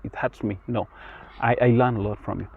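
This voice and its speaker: A man's voice: medium pitch, fairly even intonation, brisk, gravelly, phone-quality sound, a little hiss or hum. A Kenyan man who speaks English with an American-sounding accent, talking earnestly in an interview and often repeating words. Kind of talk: casual interview. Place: outdoors in rural Kenya.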